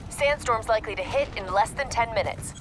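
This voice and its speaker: A woman playing a focused tactical operator, giving brisk, serious mission support in a video game. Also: fairly high pitch, background noise, lightly acted. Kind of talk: dramatic video game dialogue, tactical briefing. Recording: loud music over dialogue.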